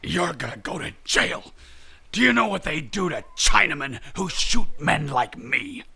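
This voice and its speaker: A man's voice: on the high side, very animated, a little slow, creaky, sharp tone, husky, heavily acted. An actor playing a rich American man and his crooked double, delivered emphatically in old radio drama style. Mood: furious, pained.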